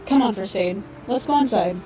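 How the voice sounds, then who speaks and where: A woman's voice: medium pitch, fairly quick, distant mic, over music, close to an everyday voice, rough recording. A woman playing a friendly, helpful robot medic in an audio drama, with an easygoing conversational manner. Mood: friendly, teasing. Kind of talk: fan audio drama acting.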